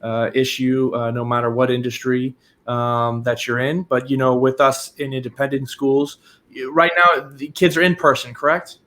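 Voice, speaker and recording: Guy hosting a podcast, rambly questions, keeps restarting, mid pitch. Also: flat delivery, normal speed, a bit bright. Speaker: podcast host. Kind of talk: interview hosting.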